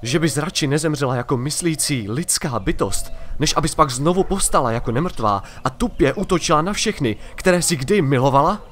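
A man's voice: on the high side, expressive, a bit bright, slight background noise. A man playing a proud, hot-tempered young prince in a fantasy audio drama, speaking coldly and imperiously. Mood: accusing. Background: music.

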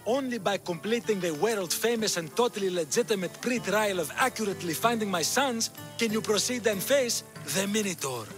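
High-voiced shifty Greek swindler. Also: male voice, expressive, moderate pace. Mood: evasive, grandiose. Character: shifty, fussy middle-aged male Greek trial swindler. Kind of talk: video game cutscene acting. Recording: music behind the voice.

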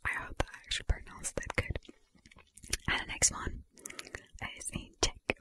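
A woman speaking in a soft, unvoiced ASMR whisper, enunciating slowly and deliberately and often repeating phrases. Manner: slow, deliberate enunciation with repetition. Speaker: ASMR video creator.

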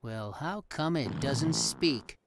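A man's voice: mid-range voice, animated, slow pace, heavy background noise. A man playing a naive, goofy, eager young college guy in a comedy video game. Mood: curious, naive.

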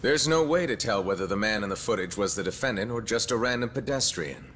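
A man's voice: on the low side, animated, fast, fairly bright tone, slight background noise. A man playing an abrasive, hot-tempered prosecutor in a video game, speaking in a cutting, formal, pointed way. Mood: formal, defensive. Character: abrasive, accusatory male prosecutor, hot-tempered and self-righteous. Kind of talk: English-dub video game cutscene acting.

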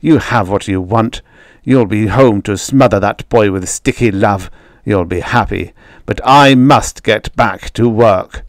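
A man playing a domineering father in a story, harsh, forceful and impatient. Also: fairly low pitch, unhurried, dry recording, clean studio sound, natural voice. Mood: bitter anger. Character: stern, angry, domineering father and robot maker.

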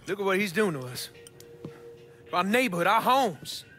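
Haughty Southern gentleman, higher-pitched. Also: very animated, normal speed. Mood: indignant, angry. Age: middle-aged. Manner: oratorical, emphatic. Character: haughty, bigoted wealthy Southern man, hot-tempered. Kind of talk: dramatic video game acting. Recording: music behind the voice.

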